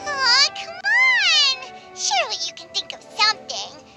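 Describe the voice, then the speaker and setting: A woman voicing a tiny, excitable childlike fairy companion in a video game, squeaky and given to pleading and exclaiming. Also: unhurried, exaggerated voice. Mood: eager, pleading. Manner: speaks of herself in third person, pleading, exclaiming. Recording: game music behind voice.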